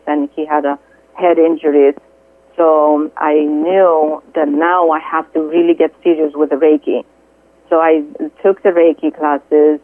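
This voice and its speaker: A middle-aged woman from Greece with a Greek accent, a guest speaking earnestly and haltingly, with many fillers, over the phone. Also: low-pitched, no echo. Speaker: guest, Reiki healer. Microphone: telephone. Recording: tinny dry phone line.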